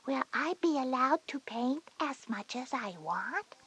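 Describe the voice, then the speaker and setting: A small, inquisitive kindergarten girl, with an oddly breathy, almost whispered voice. She is high-pitched and soft, a childlike younger sister in a scripted story cassette. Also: expressive, a little slow, no echo, heavily acted. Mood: wistful, curious. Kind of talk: scripted children's educational audio drama.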